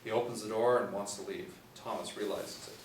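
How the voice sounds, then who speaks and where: A young man narrates screenplay directions at a table read. His voice sits slightly low, picked up from a distance in an echoey room. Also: fairly even intonation, a little fast. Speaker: narrator reading stage directions. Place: small meeting room, seated in a row. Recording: echoey room, distant mic, faint noise.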